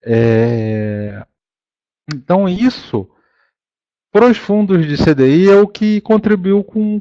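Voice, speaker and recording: A Brazilian man giving an informal business presentation as a fund manager, halting often, repeating words and correcting himself as he explains. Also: high-pitched, a little mellow, dry recording. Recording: dull, lo-fi, dry conference call audio.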